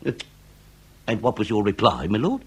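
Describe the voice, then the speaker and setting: An actor playing a wily, ponderous police inspector in a period comedy radio drama, with ornate and halting questions. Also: male voice, fairly low pitch, very animated, slightly rough, exaggerated voice. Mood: probing.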